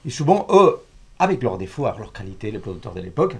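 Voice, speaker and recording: A man talks very quickly in a casual interview register. His phrasing is halting, with repeated words and corrections as he hunts for the right expression. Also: on the low side, sing-song, creaky, husky. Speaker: guest cartoon artist. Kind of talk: casual chat.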